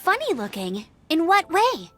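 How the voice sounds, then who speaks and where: Very high-pitched, plucky young knight scout. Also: female voice, wildly swooping pitch, a bit bright, full character voice. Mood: concerned. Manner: eager, brisk, earnest. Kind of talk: English video game voice acting. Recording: light music behind the voice. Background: game music.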